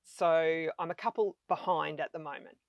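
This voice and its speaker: A woman's voice: deep voice, moderate pace, no room sound, muffled recording. Chatty Australian woman in her fifties. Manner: chatty, enthusiastic storyteller. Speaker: travel vlogger guest. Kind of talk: casual conversation.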